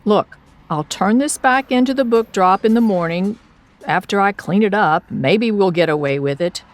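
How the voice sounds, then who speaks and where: A woman's voice: medium pitch, normal speed, dry recording, lightly acted. A woman playing an earnest, kind young boy in a radio play, questioning, exclaiming and coaxing.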